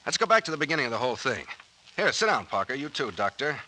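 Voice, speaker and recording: An actor playing a confident detective captain in a 1940s radio drama, brisk and slangy as he lays out a mystery. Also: mid-range voice, big pitch swings, bright, forceful tone, slight background noise, low-quality recording.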